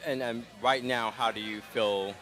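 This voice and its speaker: Host, male, calm and gentle delivery, mid-pitched, recorded outdoors at a street festival. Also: measured pace, faint music behind. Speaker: interview host. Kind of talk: casual live interview. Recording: outdoor live stream.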